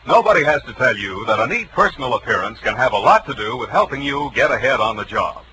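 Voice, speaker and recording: A man's voice: medium pitch, animated, fast talker, bright, forceful tone, slight background noise, poor audio. A man announcing in a polished, emphatic 1940s radio commercial style on a vintage broadcast.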